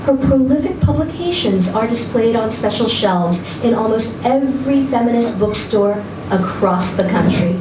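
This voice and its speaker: A woman's voice: fairly high pitch, animated, moderate pace, hardly any pauses. A woman reading a literary short story aloud expressively at a live event. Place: indoor social venue, live reading event. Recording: echoey noisy room, distant event video mic.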